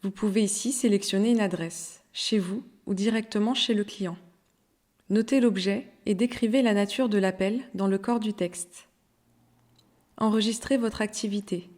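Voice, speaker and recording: A woman narrating a scripted software tutorial in an instructional, step-by-step way. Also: medium pitch, a little slow.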